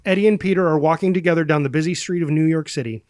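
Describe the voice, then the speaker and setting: A man's voice: fairly high pitch, racing, darkish tone, dry recording. A man reading screenplay stage directions as a dramatic scripted narrator.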